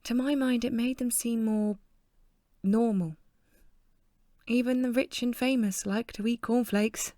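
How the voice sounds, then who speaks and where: A woman acting an earnest, self-deprecating young woman in an audio drama, with an excitable, gushing manner. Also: a little high, unhurried, pauses often, lightly acted. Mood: reflective.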